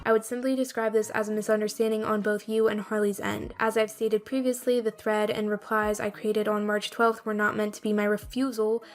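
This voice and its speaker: A woman's voice: mid-range voice, level tone, a little fast, few pauses. A woman reading a scripted statement in a formal, wordy, defensive manner. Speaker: online video creator.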